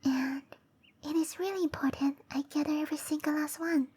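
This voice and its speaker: Woman playing a high, whispery, gentle dryad girl. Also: fairly even intonation, close to an everyday voice. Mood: apologetic, flustered. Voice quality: soft, breathy, often whispering. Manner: slow, tender, hesitant delivery. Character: gentle, shy cherry blossom dryad woman. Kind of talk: whispery roleplay ASMR.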